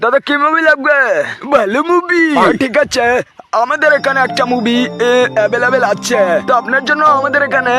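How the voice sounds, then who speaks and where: A man voicing crude, excitable cartoon men in comic skits, swearing with exaggerated delivery. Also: very high voice, fairly quick. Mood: bantering. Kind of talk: comic cartoon skit dubbing. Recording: loud music behind the voice. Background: music.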